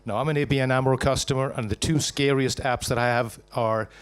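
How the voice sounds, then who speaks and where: A Scottish man giving a live startup pitch to an audience, jokey and punchy, asking and answering his own questions. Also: fairly even intonation, brisk, clean tone. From Scotland.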